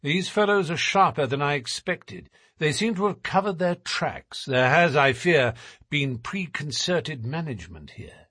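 A man voicing a shrewd detective in an audiobook, with a smooth, measured, politely formal way of coaxing. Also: on the high side, sing-song, sharp tone, no room sound, clean studio sound, exaggerated voice. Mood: grave. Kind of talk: audiobook character voice.

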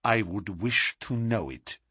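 Man performing a German spy captive, audiobook villain, bitter, furious, defiant, rough-edged voice, dramatic pitch swings. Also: animated, pushed and bright, no room sound, clean studio sound, exaggerated voice. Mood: grim, demanding. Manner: slow deliberate threats breaking into anguished cries.

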